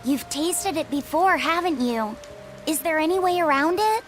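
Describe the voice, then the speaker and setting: Uma mulher dublando um menino jovem, sincero e curioso, de fala gentil e hesitante. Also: female voice, extremely high pitch, animated, normal speed, faint music behind. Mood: earnest. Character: earnest curious young boy. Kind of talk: game dub acting.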